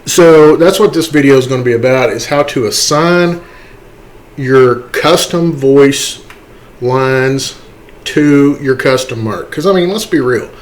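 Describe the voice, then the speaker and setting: Guy doing a laid-back game modding walkthrough, chatty, mid-pitched voice, explains as he goes. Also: a little slow. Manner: conversational, explanatory, often tags points with a checking "okay" or "right". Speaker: tutorial host, game modder. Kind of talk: casual screen-recorded tutorial narration.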